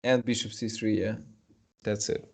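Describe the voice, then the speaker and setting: A man's voice: fairly low pitch, little pitch movement, normal speed. A young man with a Russian accent, a native Russian speaker, doing live chess commentary in English, chatty and hesitant with many fillers.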